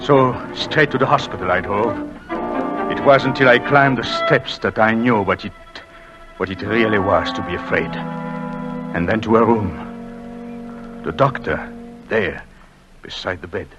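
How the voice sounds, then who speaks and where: A man's voice: on the low side, measured pace, pauses often, music in the background, close to an everyday voice. An actor playing a remorseful husband who narrates his story and pleads emotionally, in 1940s radio drama style on a lo-fi old recording. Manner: emotional, pleading, hushed at times. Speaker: narrator and lead.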